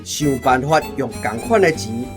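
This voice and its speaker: A man's voice: mid-range voice, very animated, moderate pace, music in the background, natural voice. A man acting in a Taiwanese radio drama, playing a brash, wily army food buyer with a Taiwanese accent and a cocky, lecturing manner.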